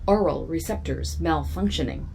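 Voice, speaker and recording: A woman voicing a curt, literal, malfunctioning ship computer in an audio drama, with formal, clipped, deadpan delivery. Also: deep voice, moderate pace, slight background noise. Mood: flat, uncooperative.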